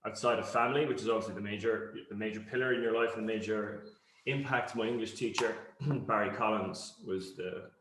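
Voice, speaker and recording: Middle-aged Cork Irish man as interview guest, lowish voice, reflective and halting delivery, roomy echoing sound. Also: fairly even intonation, noisy background. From Cork, Ireland. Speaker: interview guest, company founder. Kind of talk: conversational interview answers.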